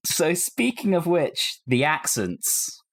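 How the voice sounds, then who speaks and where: A man's voice: on the high side, big pitch swings, takes it slowly. A man hosting a casual panel discussion podcast, talking conversationally with fellow hosts. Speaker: podcast host.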